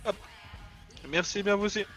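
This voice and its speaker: A man's voice: high-pitched, steady tone, normal speed, fairly bright tone. A man in casual improvised game roleplay, talking in a slangy, exclamatory way. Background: music.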